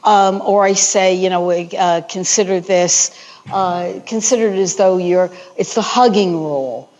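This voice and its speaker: A woman who gives walking tours, sharing tips in a panel discussion in an animated, chatty way with many fillers. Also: on the low side, unhurried, muffled recording. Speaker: walking tour guide, panelist.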